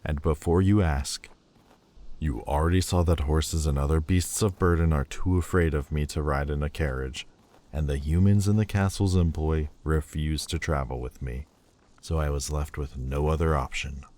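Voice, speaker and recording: A man voicing a sullen, proud demon lord who speaks with slow, scornful deliberation in an audio roleplay. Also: rumbling low, fairly even intonation, darkish tone. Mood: weary.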